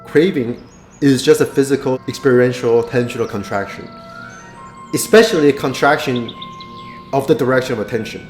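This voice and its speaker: A man's voice: mid-range voice, fairly quick, darkish tone, echoey room, soft background music. A man in an earnest spiritual monologue, explaining ideas with rhetorical questions.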